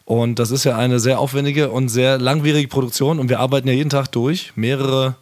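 Hoarse male podcast co-host, deadpan, casual delivery. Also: mid-range voice, brisk. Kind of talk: casual chat. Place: hotel or flat, recording remotely.

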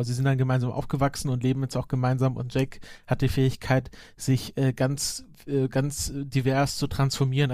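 A man with a mid-pitched voice talks as a podcast guest in a very dry recording. He is enthusiastic but rambles, often pausing to search for the next word. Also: a little mellow, dry recording. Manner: halting, rambling, hesitant mid-sentence. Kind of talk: enthusiastic casual talk about animated series.

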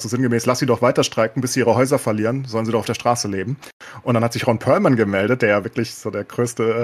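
A man in a casual podcast discussion, talking colloquially and opinionatedly with English words mixed in. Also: mid-range voice. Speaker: podcast co-host.

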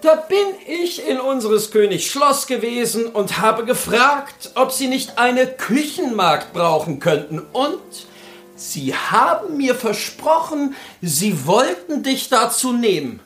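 Ein Mann spricht in einem Märchenhörbuch einen armen Spielmann, der seine Frau bestimmend und nachdrücklich herumkommandiert. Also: male voice, extremely high pitch, very animated, takes it slowly, fairly bright tone, roomy sound, soft background music, pitched above the performer's own voice, heavily acted. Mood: scolding, practical. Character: poor minstrel husband, bossy and practical. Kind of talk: fairy-tale audiobook character acting.

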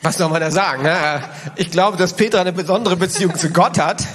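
A man leads an interview, probing and prompting his guest with follow-up questions. His voice sits somewhat high for a man, and a long hall reverb trails after each phrase. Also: a little fast, a bit bright. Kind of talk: interview conversation.

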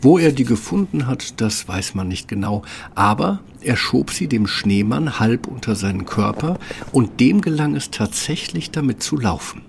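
A man's voice: medium pitch, expressive, normal speed, creaky, a little hiss or hum. A man reading scripted fairy-tale narration as the storyteller of a radio play.

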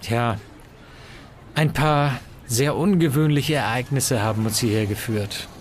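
An actor playing a courteous, purposeful professor in an amateur science fiction audio drama. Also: male voice, medium pitch, a little slow, a little hiss or hum, lightly acted. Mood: earnest. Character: composed, courteous male English professor, purposeful. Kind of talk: hobby sci-fi audio drama acting.

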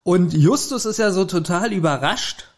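A man's voice: high-pitched, lively intonation, moderate pace. A man co-hosting a podcast, commenting on a story in informal, opinionated chat. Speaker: podcast co-host. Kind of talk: casual chat reviewing an audio drama.

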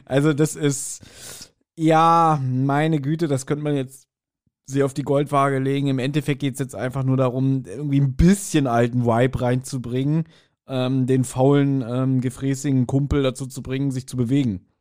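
A man's voice: medium pitch, little pitch movement, normal speed, darkish tone. A man co-hosting a podcast, casually discussing an audio drama in a colloquial, speculative way. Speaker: podcast co-host.

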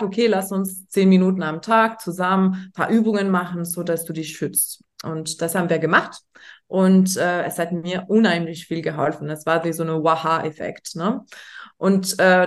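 A woman from France with a French accent, a native French speaker, talking as a guest in a casual interview in a thoughtful, hesitant way with frequent fillers. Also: on the low side. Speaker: guest, startup founder.

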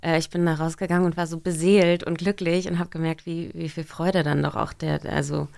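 Low gravelly-voiced woman guest. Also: flat delivery, fairly quick, a little mellow, no room sound. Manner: friendly, chatty, laughs easily. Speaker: voice actress, guest. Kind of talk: casual interview.

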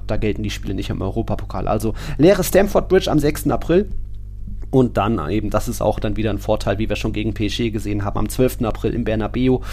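A man's voice: on the low side, darkish tone. A man co-hosting a podcast, chatting casually and in detail about football.

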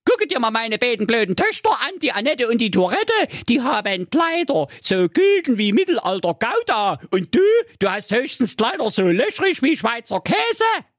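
A man voicing a wicked, scornful stepmother in a high falsetto, scolding and mocking. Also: sing-song, moderate pace, few pauses, fairly bright tone, no room sound, clean studio sound, exaggerated voice.

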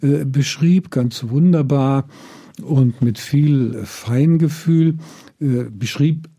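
An older man from Israel speaks slowly and thoughtfully, pausing often to gather his words. His voice is very dark and mellow. He sounds measured and reflective, like a guest weighing each answer. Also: mid-range voice. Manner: slow and deliberate, many hesitations.

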